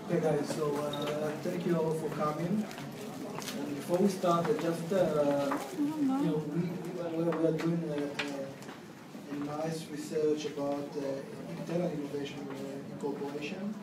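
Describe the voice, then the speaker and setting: A man's voice: on the high side, unhurried. A man opening a panel session, hesitant and stammering through his restarts. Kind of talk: opening remarks. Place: event hall. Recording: echoey hall, far off, loud noise.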